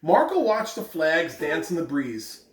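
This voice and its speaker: A man's voice: fairly high pitch, very animated, unhurried. Upbeat American man, roomy and distant. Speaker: school principal reading announcements. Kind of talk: morning announcements and children's read-aloud. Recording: roomy, distant mic with background noise.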